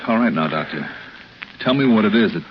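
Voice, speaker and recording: An actor playing a terse, wry insurance investigator, clipped and businesslike, in an old radio detective drama. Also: male voice, lowish voice, animated, brisk, noisy background, lightly acted. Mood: commanding. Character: terse, hard-boiled male insurance investigator narrator. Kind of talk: 1950s radio detective acting. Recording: muffled old radio recording, music behind.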